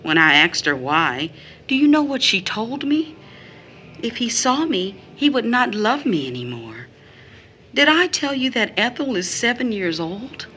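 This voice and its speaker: An actress playing a bitter, wounded mother in an audio drama, phrasing deliberately as she recounts her child's mistreatment. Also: fairly high pitch, big pitch swings, bright, forceful tone, slight background noise, heavily acted. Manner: deliberate phrasing, quotes others in mimicked tones.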